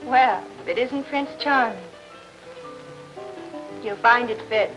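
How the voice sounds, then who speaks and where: High-voiced barroom flirt. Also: female voice, slow pace. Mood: flirtatious. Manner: teasing. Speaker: supporting actor. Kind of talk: 1950s crime drama acting. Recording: dull 1950s film sound, loud music.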